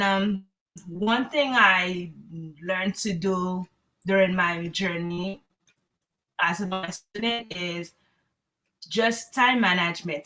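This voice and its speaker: A woman's voice: fairly low pitch, sharp tone, poor audio. A woman in her twenties from Haiti, an online student giving earnest, candid advice in an interview. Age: 20s. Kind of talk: interview answers.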